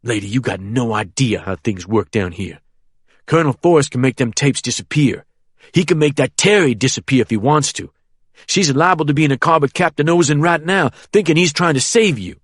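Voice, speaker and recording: A man voicing a threatening young man who speaks in slow, drawling threats. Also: mid-range voice, animated, husky, no echo, studio quality, pitched above the performer's own voice. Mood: menacing. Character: menacing young Southern man, cold and threatening.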